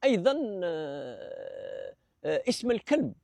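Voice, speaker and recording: Man lecturing with a gravelly voice. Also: on the high side, huge pitch swings, darkish tone, dry recording. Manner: hesitant, many fillers and restarts; measured, explanatory. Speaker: presenter of a language talk series. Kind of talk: unscripted lecture.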